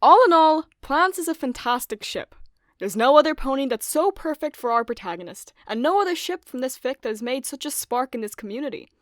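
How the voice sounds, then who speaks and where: Higher-pitched woman narrating a video essay. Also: animated, brisk, no room sound, studio quality.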